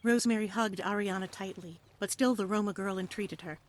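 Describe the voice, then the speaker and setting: A woman narrates an adventure story from a script. Her voice sits at a middle pitch, and her delivery is expressive and theatrical, suited to an audio play. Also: a little fast. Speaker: narrator, reader.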